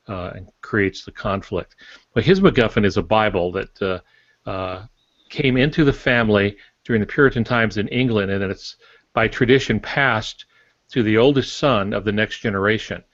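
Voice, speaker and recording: An older man co-hosting a podcast, explaining casually in measured, hesitant phrases. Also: fairly low pitch, a bit bright, dry recording, dull audio. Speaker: podcast co-host.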